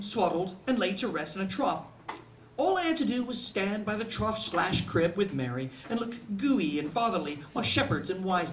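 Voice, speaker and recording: Echoey, distant man reading a comic story. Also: sits high. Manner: animated comic storyteller, acts out quoted lines. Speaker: author reading his own story. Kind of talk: live humorous short-story reading. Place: indoor bookstore reading event. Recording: echoey bookshop room, distant mic, audience noise. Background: laughing audience.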